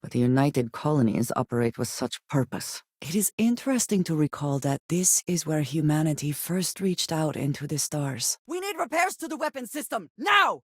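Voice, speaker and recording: An actress voicing a guarded, earnest female spacefarer in a video game, grave and loyal, with formal, measured phrasing. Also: low voice, no echo, clean studio sound. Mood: urgent shouting. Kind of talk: video game character voice lines.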